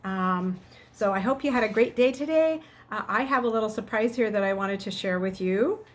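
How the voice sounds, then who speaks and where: A middle-aged woman, a teacher, reading aloud and speaking to her students in an expressive storytelling manner. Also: mid-range voice, normal speed. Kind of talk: expressive narration of a children's novel and chat to students.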